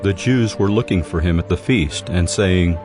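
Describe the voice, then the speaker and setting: A man with a low, deep voice narrates a dramatized Bible. His delivery is measured and solemn, with music clearly playing underneath.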